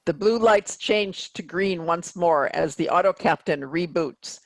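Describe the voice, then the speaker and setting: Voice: mid-range voice, a little slow, no room sound, poor audio. A narrator reading stage directions for a play in scripted narration.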